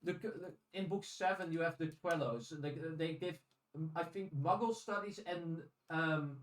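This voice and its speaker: A man co-hosting a podcast in a casual discussion, speaking haltingly with restarted phrases and casual swearing. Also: a little high. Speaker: podcast co-host. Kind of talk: casual discussion of audiobooks and fiction. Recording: roomy echo, distant from microphone.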